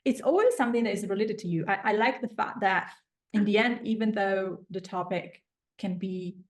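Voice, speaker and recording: A woman's voice: mid-range voice, normal speed. A woman with an Italian accent, speaking as a language coach in an encouraging, conversational interview style. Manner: encouraging, affirming.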